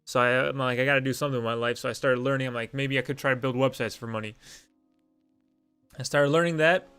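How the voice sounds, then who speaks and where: A man's voice: medium pitch, fairly even intonation, fast, sharp tone, clean tone, faint music behind. A young man whose first language is Lithuanian, hosting a casual live-stream Q and A, rambling and self-deprecating with many fillers.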